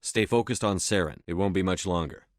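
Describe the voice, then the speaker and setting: An actor playing a stern, steady human military commander in a sci-fi video game, clipped, authoritative and matter-of-fact. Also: male voice, sits low, a little fast, no echo, clean studio sound, close to an everyday voice. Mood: grave, determined. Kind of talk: video game dialogue acting.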